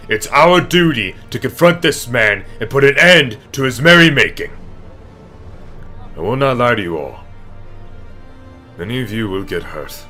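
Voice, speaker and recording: A man's voice: mid-range voice, animated, pushed and bright, soft background music. A man playing a stern, solemn military captain who speaks formally and with command in a fantasy audio drama. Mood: grave, commanding. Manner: formal, commanding, deliberate oratory.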